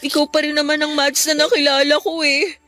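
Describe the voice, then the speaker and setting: A woman's voice: high-pitched, flat delivery, brisk, bright, forceful tone. A Filipino woman playing a caring, forgiving teenage best friend in a radio drama, earnest and pleading. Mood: gently encouraging. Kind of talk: Tagalog radio drama acting. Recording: music bed under the voice.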